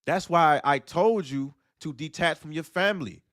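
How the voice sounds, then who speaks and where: A man's voice: a little high, moderate pace, fairly bright tone, no room sound, studio quality. Blunt preachy man ranting solo. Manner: preachy, blunt, hammering rhetorical questions. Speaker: livestream host. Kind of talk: solo livestream monologue.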